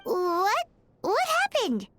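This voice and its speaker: Tiny childlike game sidekick: extremely high, chirpy, swooping delivery over music. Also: female voice, huge pitch swings, drawn-out words, fairly bright tone, heavily acted. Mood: surprised. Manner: chirpy, exclamatory, refers to self in third person. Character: small excitable childlike fairy companion, third-person speaker. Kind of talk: video game voice acting.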